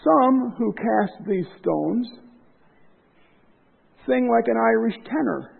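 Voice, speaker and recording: Male preacher, high gravelly voice, impassioned sermon delivery, tinny lo-fi recording. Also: lively intonation, slow pace. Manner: impassioned, rising to loud emphasis then dropping low. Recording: tinny phone-like lo-fi sound.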